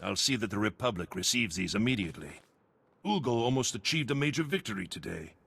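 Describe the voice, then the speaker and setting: An actor playing an urgent, secretive undercover agent in a video game, giving precise briefings in a gravelly voice. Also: male voice, on the low side, big pitch swings, a little fast, a bit bright, full character voice. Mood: earnest, approving. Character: male Republic intelligence agent undercover, urgent, secretive, earnest.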